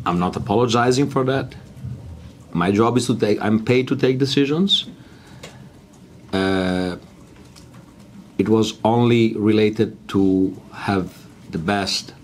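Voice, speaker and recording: A man's voice: on the low side, a little hiss or hum. A man, a basketball coach answering press questions bluntly in broken English.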